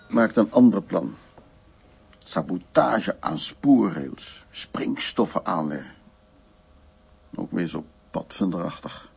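An elderly gentleman in a radio play, with a low, husky voice full of gravel. He is a wry old charmer who tells of his past in short, unhurried fragments. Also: heavily acted. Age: 70s or older. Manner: unhurried, fragmentary, reminiscing storytelling. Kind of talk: naturalistic radio drama monologue and dialogue.

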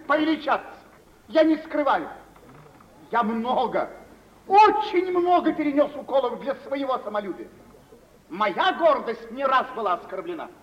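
An actor playing a vain young bridegroom with wounded pride, declamatory and self-pitying, in 1940s radio drama style. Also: male voice, extremely high pitch, a bit bright, exaggerated voice. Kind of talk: 1940s theatrical radio acting. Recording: distant, muffled old radio play recording.

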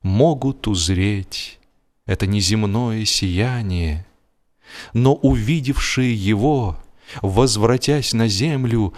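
A man reading literary prose as an audiobook narrator, in a solemn, measured storytelling manner. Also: lowish voice, a little mellow. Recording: music behind the voice. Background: music.

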